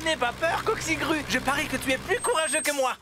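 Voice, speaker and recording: Eager young male digger for kids' cartoon, woman performer: high, lively, excitable, encouraging. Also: brisk. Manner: excitable, exclamatory. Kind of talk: children's cartoon dub acting. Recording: loud music behind the voice. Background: music.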